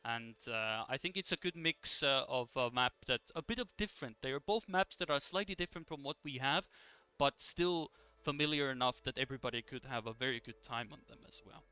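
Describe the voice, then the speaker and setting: A man hosting an esports broadcast in a casual live style, with hesitant, halting phrasing. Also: mid-range voice, bright, forceful tone, clear, resonant tone, dry recording. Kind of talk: casual live presenting and commentary. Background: music in the background.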